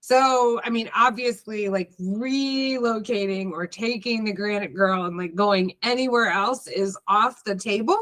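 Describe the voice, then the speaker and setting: A woman's voice: mid-range voice, a little slow, hardly any pauses, a bit bright. A woman host interviewing a guest, giving brief prompts and agreeing noises. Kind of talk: interview questions.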